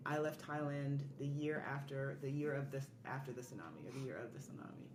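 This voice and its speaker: A woman's voice: extremely low pitch, brisk. A woman chatting casually as a podcast guest. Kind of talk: casual chat. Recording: roomy echo with noticeable background noise.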